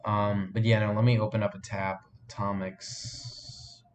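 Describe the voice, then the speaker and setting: A man's voice: fairly low pitch, level tone, measured pace, slight background noise. A sniffly man hosting a casual live stream, rambling with lots of fillers and restarts as he answers viewer chat. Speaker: live streamer and fan film maker.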